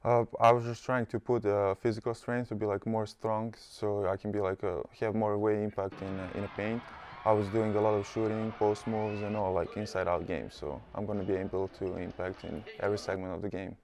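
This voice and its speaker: Young male athlete, Serbian accent, low monotone, halting speech with lots of "uh". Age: young adult. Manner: hesitant, many "uh" and "like" fillers. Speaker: college basketball player, guest. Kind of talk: casual interview answers. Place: studio set.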